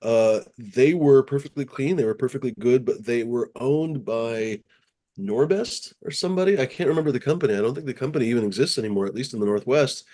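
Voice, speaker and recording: A man's voice: medium pitch, fairly quick, mellow. A man hosting a casual podcast chat, rambling through stories with loose swearing.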